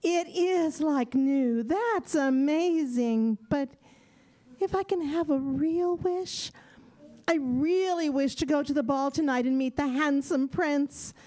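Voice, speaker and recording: A sweet, eager young Cinderella, acted by a woman in a children's audio play. Her voice is very high and slow-paced, with an earnest, exclamatory, wide-eyed delivery. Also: dark tone. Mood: amazed, wishful. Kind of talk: children's audio theater acting.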